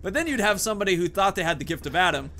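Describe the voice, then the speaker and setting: A man's voice: high voice, very animated, very fast, pushed and bright. A man giving casual, chatty let's play commentary and thinking aloud as he goes. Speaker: gaming host. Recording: faint game noise behind the voice.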